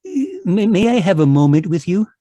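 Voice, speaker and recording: A man's voice: high-pitched, animated, fairly quick, warm tone, dry recording. A man playing a nervous, timid middle-aged fellow who stammers and hesitates, in 1940s radio drama style. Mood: timid, hesitant.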